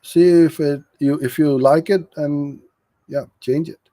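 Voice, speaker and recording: A man's voice: fairly high pitch, fairly even intonation, measured pace, warm tone, dry recording. A middle-aged man from Karachi, Pakistan, coaching in an informal live question-and-answer session, frank and practical as he explains. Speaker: digital marketing agency owner hosting a live Q&A.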